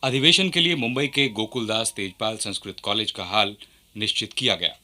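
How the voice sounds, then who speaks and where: A man's voice: on the low side, normal speed, sharp tone. A man narrating a scripted historical radio drama.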